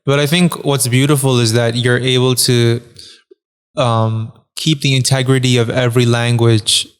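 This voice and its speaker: A man's voice: medium pitch, flat delivery, moderate pace, smooth, big-room sound. A man interviewing a guest, asking thoughtful, probing questions in a conversational tone.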